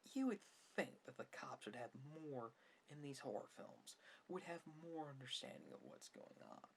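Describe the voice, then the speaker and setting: Indignant man ranting softly, widely swinging pitch. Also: very animated, moderate pace, creaky, slightly rough, low-quality recording. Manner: indignant, sarcastic, emphatic complaining. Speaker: video host, film commentator. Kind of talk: ASMR opinion monologue on films. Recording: faint background noise.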